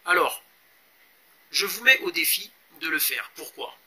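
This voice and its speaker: A man in his forties from Congo talks through a fitness challenge in a casual vlog. His voice sits high and sounds very bright and forceful. He pauses often, fills gaps with hesitations and keeps urging viewers to take part. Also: expressive. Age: 40s. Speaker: fitness vlogger.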